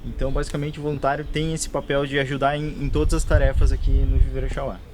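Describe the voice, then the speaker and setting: A man's voice: mid-range voice, level tone, quick pace, background noise. Hesitant Brazilian man explaining his work. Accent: Brazilian Portuguese. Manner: hesitant, many fillers and restarts, plain explaining tone. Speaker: nursery worker at a conservation NGO. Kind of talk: on-site interview, explaining the work. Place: plant nursery and lab on a rural property.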